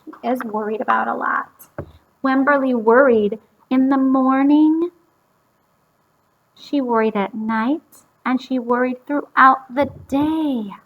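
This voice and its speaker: A woman reading a story aloud to young children, pausing to explain and ask them simple questions. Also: high voice, phone-quality sound.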